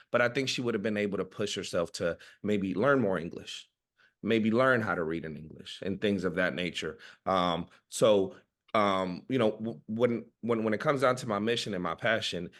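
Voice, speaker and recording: Low-voiced man giving informal personal testimony. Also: fairly bright tone. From Guatemala and Oakland, California. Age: 30s. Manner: informal, halting, repeats words. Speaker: educator and family organizer.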